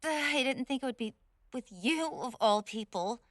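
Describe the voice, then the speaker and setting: A woman's voice: a little high, animated, normal speed, no room sound, studio quality, close to an everyday voice. A woman playing a spoiled rich college bully in a roleplay audio drama, indignant, snobbish and sweary, stammering when flustered. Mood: irritated, flustered. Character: haughty, spoiled rich college girl bully, hot-tempered tsundere. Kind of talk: scripted roleplay audio drama, one side of a dialogue.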